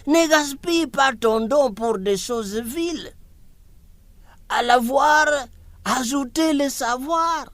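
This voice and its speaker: A woman narrating a folk tale for a cartoon in a slow, deliberate storytelling voice, ending on a solemn moral, over loud music. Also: high-pitched, no room sound.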